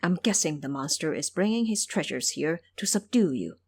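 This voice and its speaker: A woman's voice: low voice, animated, normal speed, gravelly, a little mellow, slightly rough, heavily acted. A woman voicing a deferential male earth spirit in an audio drama, explaining things in an obliging way. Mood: helpful. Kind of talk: audio drama character acting.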